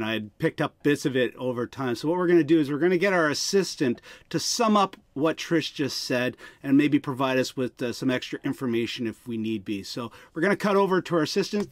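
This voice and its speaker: A man's voice: medium pitch, dry recording. A man hosting a podcast, putting questions to a guest in a conversational interviewing style. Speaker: podcast host.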